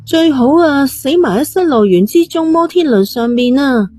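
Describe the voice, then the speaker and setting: Actress as stubborn, flippant young woman for audio drama; high voice, Hong Kong Cantonese accent, dry close sound. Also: expressive, normal speed, mellow, no echo. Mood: defiant. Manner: cheeky, nonchalant, stubborn. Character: defiant, flippant young woman with a brain tumour.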